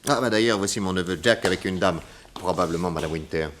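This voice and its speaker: An actor playing a skeptical private detective who questions courteously and insistently in a French radio drama. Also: male voice, low voice, fast, a bit bright, a little hiss or hum. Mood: doubtful.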